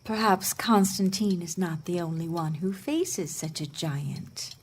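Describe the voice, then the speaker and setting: An actress playing a wise, patient mother in a family audio drama, measured and gently correcting. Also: on the low side, a little hiss or hum, lightly acted. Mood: gently instructive.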